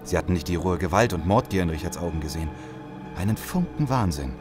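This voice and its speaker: Low-voiced, battered soldier. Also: male voice, lively intonation, fast, music in the background. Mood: grim narration. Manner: blunt, skeptical. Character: battered, distrustful male soldier, apocalypse survivor. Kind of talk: German audio drama acting.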